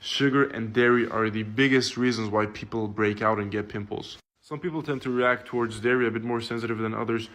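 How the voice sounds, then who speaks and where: Low-voiced man giving casual advice. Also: steady tone, fairly quick, few pauses, a bit bright. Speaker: skincare coach.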